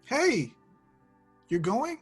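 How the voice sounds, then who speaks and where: A man's voice: extremely high pitch, very animated, drawn-out words, husky, soft background music, heavily acted. A man playing an earnest, easygoing young hero in a casual film table read, talking in a hesitant, conversational way. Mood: downcast.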